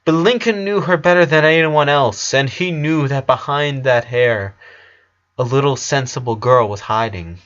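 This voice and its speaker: A man's voice: medium pitch, moderate pace, fairly bright tone. A man narrating a sad story as a dramatic reading, on a dull, lo-fi recording. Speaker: fan fiction narrator.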